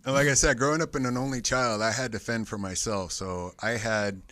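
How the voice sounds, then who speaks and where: A man telling relaxed stories in a casual group chat. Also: mid-range voice, fairly quick, no room sound.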